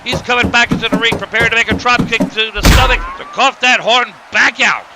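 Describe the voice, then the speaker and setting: A man playing an excitable, colourful announcer who calls a fictional wrestling match play by play. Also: extremely high pitch, a little fast, background noise. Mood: excited. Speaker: wrestling commentator. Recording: music behind the voice.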